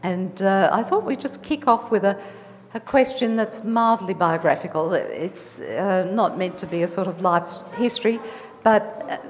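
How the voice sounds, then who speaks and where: A woman's voice: medium pitch, lively intonation, a little slow. A woman moderating an artists' panel, chatty and wry, with plenty of fillers. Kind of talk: opening remarks at a gallery talk. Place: art gallery room. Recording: reverberant hall with faint noise.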